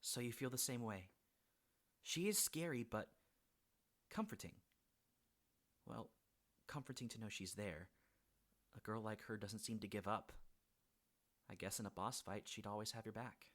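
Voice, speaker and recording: Man playing a courteous, lively-pitched young healer. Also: a little slow, pauses often. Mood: thoughtful. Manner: courteous, slightly formal. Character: polite, curious young male healer with a staff. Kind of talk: audiobook drama character acting.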